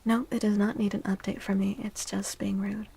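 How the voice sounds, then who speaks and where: A woman livestream host speaking softly in an ASMR style, hushed and gentle, often slipping into a near-whisper close to the microphone. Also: medium pitch, little pitch movement, normal speed. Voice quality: soft, breathy. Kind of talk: soft-spoken ASMR livestream chat.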